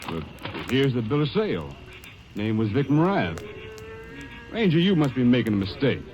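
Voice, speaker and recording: A mid-pitched, folksy cattleman acted by a man from an old radio western. He is plain-spoken and guarded, quick to defend himself. The sound is a little dull and dated. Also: very animated, a little mellow, faint music behind, lightly acted. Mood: defensive. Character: defensive, folksy cattle rancher under suspicion. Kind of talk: 1950s radio western acting. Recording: dull vintage radio recording.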